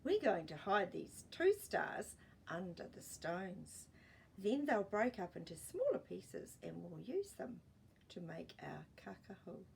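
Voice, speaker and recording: A woman voicing an impish, giggly, boastful fairy who crows with excitement in a storybook. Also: mid-range voice, takes it slowly, creaky, a little hiss or hum, heavily acted. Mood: gleeful, boastful.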